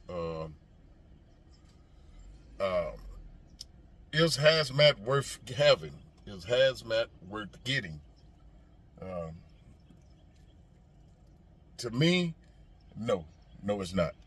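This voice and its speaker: A man with a fairly low voice talks off the cuff like a trucker vlogging to his followers. He is folksy and emphatic, circling back to repeat his points. A light layer of background noise sits behind him. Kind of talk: casual opinion monologue to viewers. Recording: noisy ambience behind the voice.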